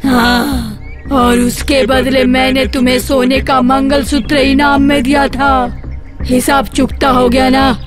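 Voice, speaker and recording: A woman voicing a menacing, gloating man-eating witch in an animated horror story, with a drawn-out delivery. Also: high-pitched, music in the background. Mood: haughty, stern.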